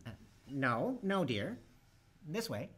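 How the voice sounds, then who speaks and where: Slow-talking, doting husband played by a man. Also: fairly high pitch, big pitch swings, a bit bright, a little hiss or hum, full character voice. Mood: patient coaxing. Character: indulgent husband coaxing his tipsy wife to the phone. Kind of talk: stage-style radio play acting.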